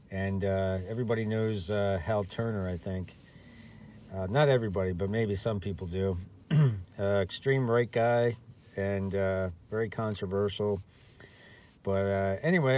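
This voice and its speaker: A man talking casually on his own as an amateur radio operator, rambling with many fillers and restarts and clearing his throat now and then. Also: lowish voice, mellow. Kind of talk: casual unscripted monologue.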